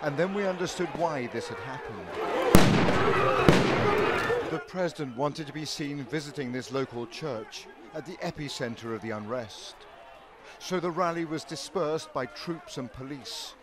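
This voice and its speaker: A man voicing a news report as correspondent, measured and grave in delivery. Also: a little high. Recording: loud crowd and street noise behind.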